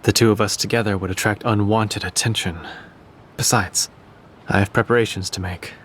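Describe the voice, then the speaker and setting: A man's voice: fairly low pitch, fairly even intonation, normal speed, a bit bright, slight background noise, lightly acted. An actor playing a composed, courteous, secretive man in a video game dub, speaking formally and with measure. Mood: composed, guarded.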